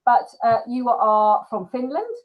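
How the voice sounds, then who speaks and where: A woman's voice: fairly high pitch, sing-song, unhurried, phone-quality sound. A woman hosting a casual live filmmaker Q&A, talking in a chatty, informal way.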